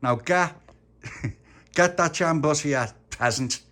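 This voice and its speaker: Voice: medium pitch, slow pace, fairly bright tone, voice pushed up. A storyteller voicing a bossy, haughty little girl who rules the playground. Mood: haughty, firm.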